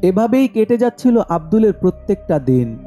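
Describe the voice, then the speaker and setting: A man narrates a scripted horror tale in a high but very dark, muted voice. Faint music plays behind him. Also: big pitch swings, normal speed. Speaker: storyteller.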